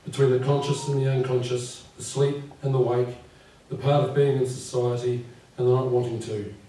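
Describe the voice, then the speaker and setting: A man in his fifties with a croaky voice, reading his poems at a live event and speaking gently and unhurriedly. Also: medium pitch, level tone, normal speed, slight background noise. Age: 50s. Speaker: poet. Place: live venue with audience. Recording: echoey room from far off.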